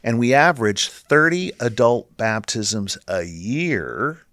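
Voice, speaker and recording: A man's voice: mid-range voice, slow, no room sound, clean studio sound. A middle-aged man from Northern California speaking as a ministry leader in a casual, earnest interview. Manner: earnest, animated storyteller.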